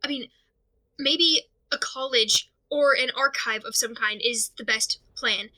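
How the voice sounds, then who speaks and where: Audio drama lead: anxious amateur investigator , played by a woman, higher voice with a tense, sharp edge, halting and stammering monologue. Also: rough recording. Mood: anxious, reasoning. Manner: halting, stammering, rambling, fretful. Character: anxious, overwhelmed amateur investigator talking through a dilemma alone.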